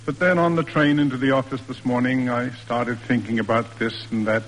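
An actor playing a stiff, proper middle-aged father in a classic radio drama, speaking in measured, roundabout formal sentences. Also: medium pitch, steady tone, close to an everyday voice. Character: stiff, proper, reserved father in period New England. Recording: old radio recording, reverberant, music behind.

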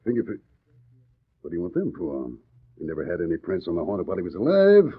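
An actor playing a hearty, blunt police inspector with slangy talk in a 1940s radio drama. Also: male voice, low voice, huge pitch swings, quick pace, husky, full character voice. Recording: tinny old radio recording.

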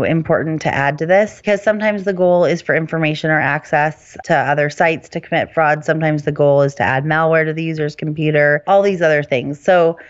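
A woman's voice: deep voice, dull audio. A woman hosting a podcast, explaining things in a casual, chatty and informal way. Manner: chatty, informal, often hedging with "I mean" and "you know". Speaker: podcast host.

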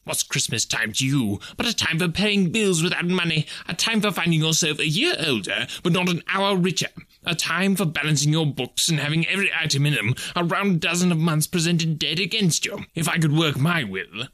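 A stern old miser from a storybook, acted by a male narrator, bitter and indignant. He growls his scorn with big swings of pitch, in a dry, close recording. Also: lively intonation, normal speed, pushed and bright, dry recording.

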